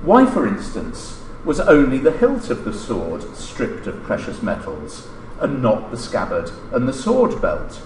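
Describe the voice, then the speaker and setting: Middle-aged male academic, formal and deliberate, with lively intonation, heard in a reverberant lecture room. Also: medium pitch. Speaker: academic lecturer reading a paper. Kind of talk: formal scripted lecture, read aloud. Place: indoor lecture room at a lectern. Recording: roomy lecture-hall echo, background noise.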